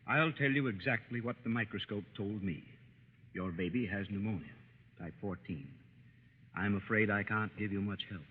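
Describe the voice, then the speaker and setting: An actor playing a grave, measured hospital doctor in 1940s radio drama style. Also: male voice, on the low side, slightly rough. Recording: tinny, echoey, hissy vintage radio recording.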